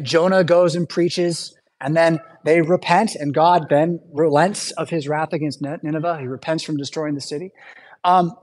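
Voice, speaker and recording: A male pastor giving a conversational sermon in a church, often checking in with "right?" and adding quick asides. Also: sits high.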